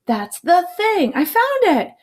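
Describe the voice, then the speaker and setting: An excitable young boy from a children's storybook, as a woman reader puts him on. The voice is pushed high and moves up and down freely, with a worried, exclamatory manner. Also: slow pace, full character voice. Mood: excited, argumentative. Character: anxious, excitable young boy confessing his mistakes. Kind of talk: children's storybook read-aloud.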